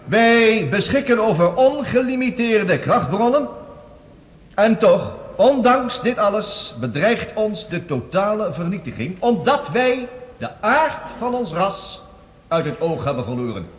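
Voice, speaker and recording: High, sweeping, oratorical professor. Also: male voice, sing-song, measured pace. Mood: solemn, oratorical. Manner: solemn, rhetorical, declamatory. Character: eminent, grandiose male professor delivering an oratorical address. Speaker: actor. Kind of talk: Dutch radio play acting, oratory. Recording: echoing hall, background noise.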